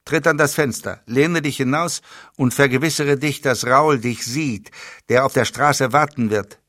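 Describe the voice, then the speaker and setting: A man voicing a calm, commanding, bone-dry spymaster who speaks in curt, stern orders. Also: mid-range voice, a little slow, no echo, pitched above the performer's own voice, lightly acted.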